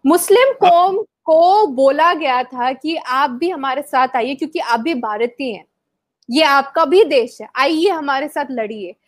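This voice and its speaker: A woman's voice: high voice, animated, unhurried. A woman from Kashmir answering interview questions in an impassioned, argumentative way, firing rhetorical questions between frequent hesitations. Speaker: interview guest. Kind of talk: heated debate answer.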